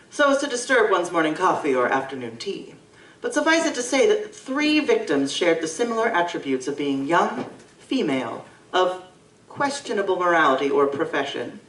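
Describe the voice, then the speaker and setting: A woman's voice: fairly low pitch, a bit bright. A woman playing a prim Victorian chronicler, narrating an audio drama in florid, formal, dramatic language. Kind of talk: Victorian-style scripted narration. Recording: roomy live stage, distant mic.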